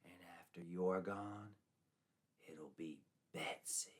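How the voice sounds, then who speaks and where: A man's voice: deep voice, slow pace. A man voicing a vengeful, taunting witch spirit in a storybook tale, speaking in ominous threats. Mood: whispered menace.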